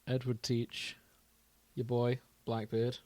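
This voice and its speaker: Man, casual comic podcast chat, mock-serious delivery, medium pitch, dry recording. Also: completely flat delivery, takes it slowly, a little mellow, no echo. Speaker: podcast host.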